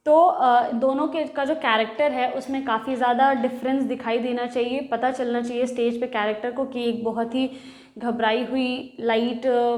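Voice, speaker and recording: A woman's voice: on the high side, a little mellow. A woman teacher giving a lesson in Hindi, mixing in English words as she explains. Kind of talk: bilingual classroom lecture. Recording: echoey room.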